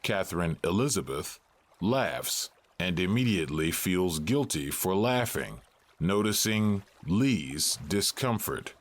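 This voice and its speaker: A male narrator reads stage directions for an audio drama. His voice is fairly low and takes its time, with very animated rises and falls in pitch. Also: takes it slowly.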